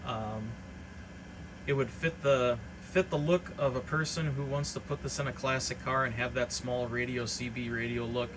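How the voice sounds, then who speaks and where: A man's voice: mid-range voice, fairly even intonation, moderate pace, background noise. A man giving an informal, unscripted hobbyist product demonstration in a rambling, matter-of-fact way. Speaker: hobbyist radio reseller presenting a demonstration.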